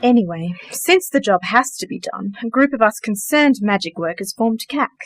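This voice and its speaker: A woman acts as a composed sorceress in a radio drama. She speaks politely and precisely at a medium pitch, with dry understatement. Faint music sits behind her. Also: expressive, normal speed, no echo. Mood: matter-of-fact. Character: composed, genteel, dryly witty female sorcerer, organizer of magic workers. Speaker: actor in radio play. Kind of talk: radio play dialogue.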